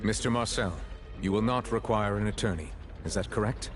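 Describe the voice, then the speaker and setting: A low-pitched, stern male judge , acted by a man, presides in a video game courtroom. His delivery is measured, formal and authoritative, with music playing behind. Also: close to an everyday voice. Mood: grave.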